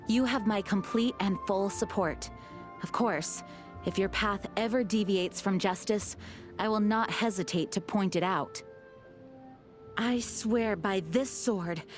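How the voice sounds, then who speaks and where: A woman's voice: medium pitch, lightly acted. A woman playing a dutiful, earnest young knight in a video game, speaking formally and with composure. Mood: solemn, resolute. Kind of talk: video game character voice lines.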